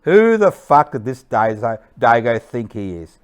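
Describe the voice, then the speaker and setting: Callous wartime authority figure, acted by a man, contemptuous, crisp, stage drama. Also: medium pitch, very animated, measured pace. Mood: cold contempt. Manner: cold, contemptuous.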